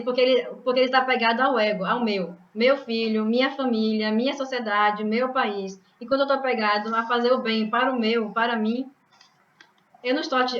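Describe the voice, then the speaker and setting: A woman's voice: on the high side, a bit bright. A woman from Bahia, explaining scripture to a study group over a video call, hesitant and restarting words. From Bahia, Brazil. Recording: echoey muffled call audio.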